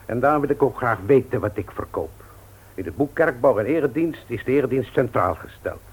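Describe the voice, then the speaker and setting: An actor playing a stubborn, proud middle-aged bookseller of the old school, pedantic and self-important, in a Dutch radio play. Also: male voice, medium pitch, lively intonation, fairly quick, slightly rough, poor audio. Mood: indignant, lecturing.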